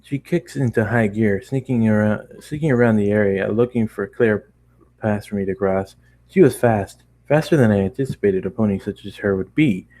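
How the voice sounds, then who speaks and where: A man with a fairly low, dark and relaxed voice reads a fan fiction story aloud. His delivery is informal, with stumbles and self-corrections as he goes. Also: moderate pace, rough recording. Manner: informal, stumbling over the text and correcting himself. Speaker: amateur narrator.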